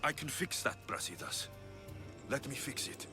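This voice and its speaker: A man's voice: mid-range voice, big pitch swings, moderate pace, music in the background, close to an everyday voice. A man playing a blunt young Greek warrior. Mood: earnest. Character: weary, determined young Greek mercenary warrior. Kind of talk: cinematic game acting.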